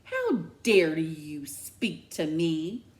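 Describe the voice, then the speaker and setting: A woman's voice: low voice, wildly swooping pitch, full character voice. A woman voicing a furious, protective mother in a storybook, stern and unforgiving, biting out emphatic words. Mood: icy fury. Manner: slow, emphatic, clipped commands.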